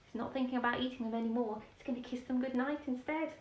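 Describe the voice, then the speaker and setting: A woman's voice: a little high, steady tone, fast. A woman reads a children's bedtime story expressively, with chatty explanations and questions for young listeners. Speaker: storyteller reading a children's picture book. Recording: roomy echo, distant microphone.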